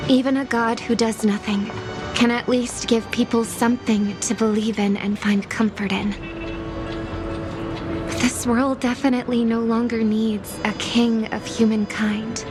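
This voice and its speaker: An actress voicing an earnest young woman who speaks calmly and sincerely about her brother, in a game scene with music behind her. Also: a little high, unhurried, natural voice. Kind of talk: game voice acting. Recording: game dialogue over music.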